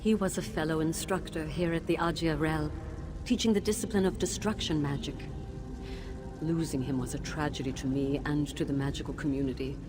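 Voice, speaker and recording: An actress playing the mournful, solemn ghost of a wronged wife and former teacher in a fantasy video game. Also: female voice, sits low, fairly even intonation, fairly quick, over music, lightly acted. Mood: solemn, sorrowful.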